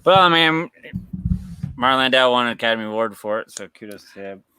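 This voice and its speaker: A man's voice: medium pitch, expressive, quick pace, bright, forceful tone, clean tone, no echo. A man co-hosting a podcast in loose, rambling chat, laughing often and swearing freely. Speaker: podcast co-host. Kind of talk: casual chat.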